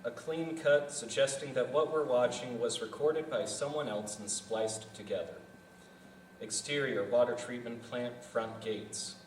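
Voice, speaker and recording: A man's voice: mid-range voice, unhurried. A man reading stage directions at a live table read in a plain, matter-of-fact way. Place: festival venue room. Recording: echoey room, distant mic, faint noise.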